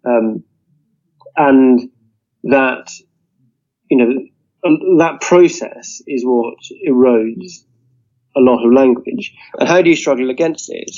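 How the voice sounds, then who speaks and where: Man, interview guest, earnest, argumentative, mellow, dull lo-fi sound. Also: medium pitch, slow, long pauses. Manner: earnest, argumentative, leans on "you know". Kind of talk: political discussion. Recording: dull lo-fi audio.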